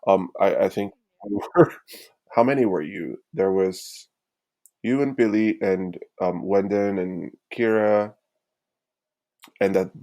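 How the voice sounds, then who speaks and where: Low-voiced young man chatting casually. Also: slow pace, pauses often, dark tone. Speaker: podcast guest, student tutor. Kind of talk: casual chat.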